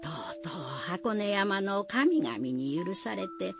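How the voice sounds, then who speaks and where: A woman narrates a radio folktale in folksy dialect. Her telling is slow and richly inflected, set over clear background music. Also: medium pitch, animated. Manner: rustic dialect endings, addresses listeners directly. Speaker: storyteller.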